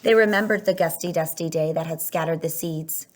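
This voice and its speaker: Female storyteller for a children's picture book read-aloud, lower register, expressive delivery with drawn-out emphasis. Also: a little hiss or hum.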